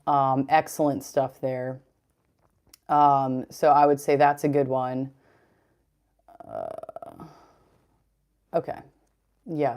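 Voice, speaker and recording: Deep-voiced woman, chatty livestream host. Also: darkish tone. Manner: chatty, rambling, reads chat comments aloud. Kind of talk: casual livestream chat.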